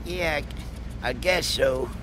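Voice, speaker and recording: A man's voice: a little high, slow pace, background noise. A man voicing a simple, good-natured rusty tow truck with a Southern US accent, folksy and rambling, in a cartoon game. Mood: uneasy. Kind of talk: animated video game acting.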